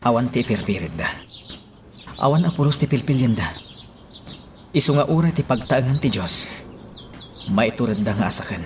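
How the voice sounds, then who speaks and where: An actor with an Ilocano Filipino accent playing an earnest, concerned parish priest in a radio drama, with a grave, measured delivery. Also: male voice, medium pitch, lively intonation, slight background noise, natural voice. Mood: grave, worried. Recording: music behind the voice.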